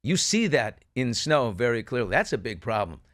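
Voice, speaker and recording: A man's voice: medium pitch, big pitch swings, moderate pace, dry recording. Emphatic male talk show host, dry close sound. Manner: emphatic, rhetorical questioning. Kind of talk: opinionated true-crime news discussion.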